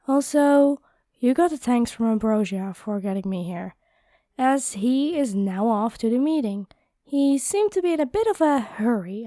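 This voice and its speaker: A woman's voice: on the high side, warm tone, dry recording, studio quality. A woman playing a cheeky, playful warrior lady in a fantasy audio roleplay, talking in a casual, teasing, chatty way.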